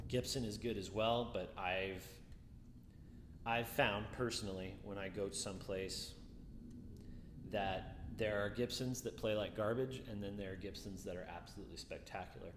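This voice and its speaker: A man with a deeper voice giving a casual guitar review, musing and repeating words as he talks. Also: fairly even intonation, measured pace, pauses often, echoey room, big-room sound, noisy background.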